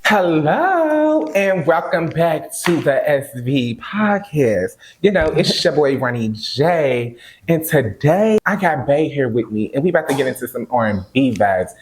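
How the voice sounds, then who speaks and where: A playful male podcast host chatting casually with a guest. His voice swings widely in pitch, loose and full of slang, bouncing between teasing and questions. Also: sing-song, a little slow, slight background noise. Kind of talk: casual interview chat.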